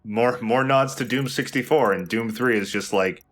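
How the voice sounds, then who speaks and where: A man's voice: medium pitch, normal speed. A man hosting a let's play with casual, conversational commentary over a video game.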